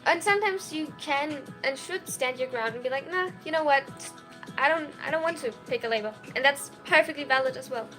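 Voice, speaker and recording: A woman's voice: high voice, fairly quick, pushed and bright, faint music behind. A woman of twenty-one chatting casually on a livestream, answering viewer questions in a giggly way full of "like" and "um". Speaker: streamer and college student.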